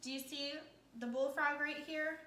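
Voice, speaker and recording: A woman with a fairly high voice reads a storybook as a teacher would to young children. She keeps her phrasing simple and pauses to ask questions. The room is echoey, with a little noise behind her. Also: steady tone, pushed and bright. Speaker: elementary teacher. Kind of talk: interactive picture-book read-aloud with questions.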